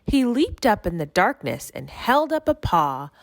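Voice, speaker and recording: A woman giving an expressive read-aloud narration of a rhyming children's story. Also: medium pitch, a little slow, gravelly, no echo. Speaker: storybook reader.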